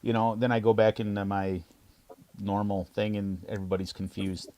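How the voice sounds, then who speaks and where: A man's voice: fairly low pitch, level tone, normal speed, mellow. American guy in a casual chat, frank, talks easy, drops filipino words in. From United States. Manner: chatty, frank storytelling with bits of Filipino words. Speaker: podcast co-host.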